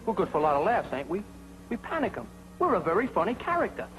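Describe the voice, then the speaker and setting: An actor playing a cocky, bumbling young navy pilot who brags and wisecracks, in the acting style of a 1940s training film. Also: male voice, high-pitched, big pitch swings, quick pace, low-quality recording. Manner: wisecracking, boastful, jeering. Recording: old film soundtrack with music and hiss.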